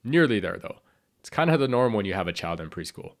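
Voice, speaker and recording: Man, lower voice, slightly raspy, lively intonation, clear explanatory host delivery. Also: fast talker. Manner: clear, explanatory, friendly instruction. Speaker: sushi chef and channel host. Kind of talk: scripted cooking tutorial.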